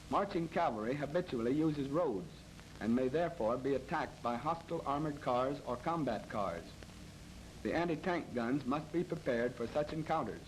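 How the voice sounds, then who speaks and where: 1930s American training film narrator, noisy old soundtrack. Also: male voice, medium pitch. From United States. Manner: formal, measured, matter-of-fact.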